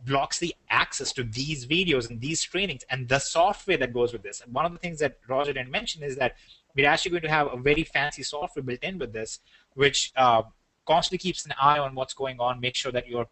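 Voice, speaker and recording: A man's voice: mid-range voice, a little fast, pushed and bright, dry recording, poor audio. A man with an Indian accent, co-hosting a business webinar and explaining products in a casual, joking way. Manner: joking, then plainly explaining. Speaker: webinar co-host.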